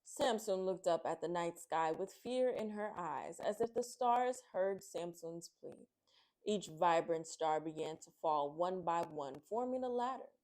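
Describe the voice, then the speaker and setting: A woman hosting a children's read-aloud, speaking in an expressive, excitable, friendly way to young viewers. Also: fairly low pitch, unhurried, low-quality recording. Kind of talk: storybook narration and friendly chat to young viewers.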